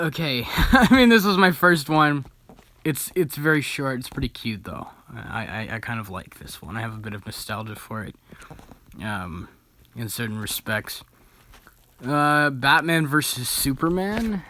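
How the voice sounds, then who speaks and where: A man's voice: medium pitch, pushed and bright. A young man giving casual reaction commentary as a video host, in an informal, amused and self-deprecating way.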